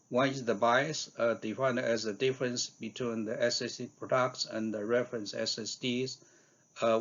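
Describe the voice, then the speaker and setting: A man giving a scientific conference talk in a halting way, with frequent hesitations and fillers. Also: medium pitch, lo-fi sound. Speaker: researcher giving invited talk.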